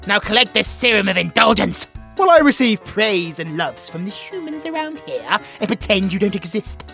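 A man's voice: very high-pitched, creaky, exaggerated voice. A British man voicing a bratty, self-important tiger warrior in a comedic audio roleplay, haughty, demanding and full of theatrical exclamations.

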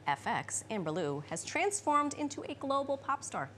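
A woman's voice: sits high, sing-song, moderate pace, a bit bright, slight background noise. A woman in her thirties co-hosting a TV studio show, upbeat and chatty. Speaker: TV co-host. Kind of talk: TV host introduction and chat.

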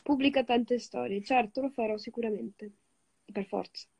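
Young woman, Italian native from Switzerland, phone livestream host, husky low-toned voice with vocal fry. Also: normal speed. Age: young adult. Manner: greets viewers, switches languages, relaxed and chatty. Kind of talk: casual livestream chat with viewers. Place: lying on a bed indoors. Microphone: phone held at arm's length.

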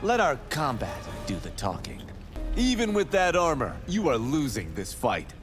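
Proud young game fighter, boastful, mid-pitched, swooping intonation, music behind. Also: male voice, wildly swooping pitch, moderate pace. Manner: boastful, challenging. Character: proud, brash young male Shaolin monk fighter. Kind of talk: fighting game character dialogue.

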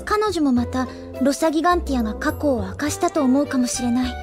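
Impetuous high school girl played by a woman, very high-pitched, pushy and pouty, for anime audio drama. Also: fast talker, over music, close to an everyday voice. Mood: insistent. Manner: assertive, pouty, girlish.

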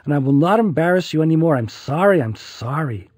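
A man's voice: on the high side, lively intonation, a little fast, a little mellow, breathy edge, no echo, clean studio sound. Man putting on a fussy, over-eager mom. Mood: flustered apology. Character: fussy, apologetic, chirpy embarrassing mother.

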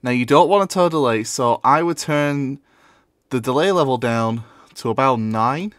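A man talks through a software tutorial in a casual, offhand manner. His voice is recorded dry and close, with hardly any room around it. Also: mid-range voice, moderate pace, no room sound. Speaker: tutorial presenter.

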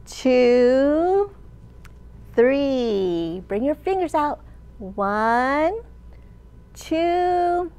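A woman in her thirties, a children's librarian leading storytime with an animated, sing-song delivery for young children. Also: high-pitched. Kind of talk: children's storytime read-aloud and teaching. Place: large open library room, indoors.

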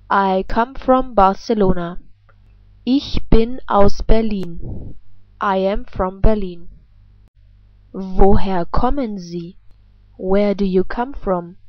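A woman giving a scripted language lesson as a teacher, reading example phrases with careful, deliberate enunciation. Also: mid-range voice, dry recording, dull audio.

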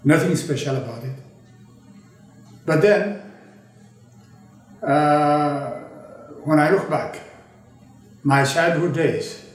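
An elderly man in his eighties, from Ethiopia, talks slowly and deliberately with a slightly raised pitch. He pauses often and restarts phrases as he reflects. The voice sounds distant in a very echoey room. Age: 80s. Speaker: interviewee recalling his childhood. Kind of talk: oral history interview. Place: indoor studio with a draped backdrop.

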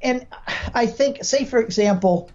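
A man's voice: extremely high pitch, moderate pace, darkish tone, highs cut off. An American man in his sixties from Michigan, explaining as a podcast guest with stories and examples, emphatically acting out quoted speech. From Michigan, United States. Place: home room with bookshelves, video call.

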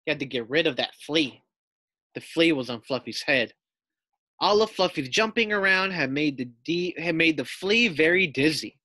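A man's voice: fairly high pitch, animated, moderate pace, bright, forceful tone, no room sound. A man reading a children's storybook aloud as a teacher, expressively, with explanatory asides and questions to pupils.

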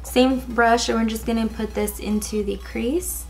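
A woman in her twenties talks through a makeup tutorial in a casual, filler-laden way. Her voice is raspy and a little hoarse from a cold, sitting fairly high with a gravelly fry. She speaks close to the camera in an indoor setting. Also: fairly even intonation, a little slow, faint music behind. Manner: casual, chatty, many fillers like "um" and "like". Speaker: beauty vlogger. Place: indoors, in front of a gold sequin backdrop.

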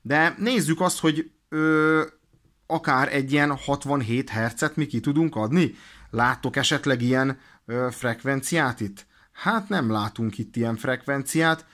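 A man's voice: medium pitch, a bit bright. Man giving an informal hands-on tutorial. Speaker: presenter of a radio equipment demonstration. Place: indoors at a desk.

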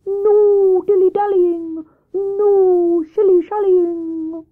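A woman voicing a cool, bossy male owl in a children's story, with a drawn-out, commanding delivery. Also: very high-pitched, steady tone, phone-quality sound, pitched above the performer's own voice, full character voice. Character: imperious, cool old-fashioned male owl, drawling and bossy.

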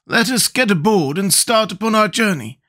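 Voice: mid-range voice, expressive, normal speed, bright, forceful tone, voice pushed up. A reader voicing a kindly, proud storybook scarecrow who talks in an encouraging way. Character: kindly, proud Scarecrow, cheerful sociable leader.

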